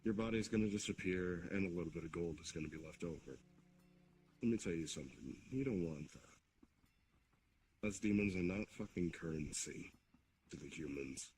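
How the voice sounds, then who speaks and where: A man improvising in roleplay as a commanding, hospitable demon king, speaking in a deliberate, formal way. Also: deep voice, darkish tone, soft background music, rough recording. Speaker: roleplayer leading an improvised scene. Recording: noticeable background noise on mic.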